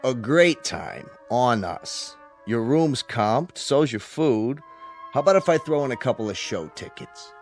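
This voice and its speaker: An actor playing a smooth-talking casino manager in a radio drama, persuasive and placating like a salesman. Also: mid-range voice, big pitch swings, unhurried, soft background music.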